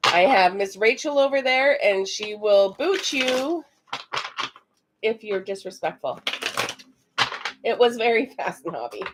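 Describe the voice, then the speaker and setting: This is a woman giving a live psychic card reading on a stream. Her voice is raspy, and she speaks in a loose, chatty way, often stopping to answer viewers in the chat. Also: medium pitch, animated, slow pace, pauses often, background noise. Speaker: psychic reader.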